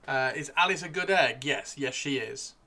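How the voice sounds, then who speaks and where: A man hosting a casual live stream, chatting excitably and repeating his phrases, with his voice starting to give out. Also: medium pitch, moderate pace. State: losing his voice. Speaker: livestream host. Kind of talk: casual live stream chat while painting miniatures.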